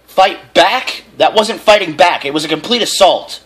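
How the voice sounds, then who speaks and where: A man's voice: high-pitched, expressive, moderate pace, fairly bright tone, breathy edge, voice pushed up, heavily acted. A man voicing an indignant mother pony who scolds sharply in a storybook reading.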